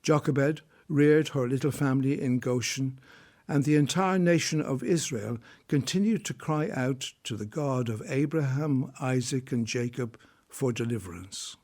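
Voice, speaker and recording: Solemn male storyteller, mid-pitched. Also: a little slow, darkish tone. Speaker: narrator. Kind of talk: scripted storytelling narration.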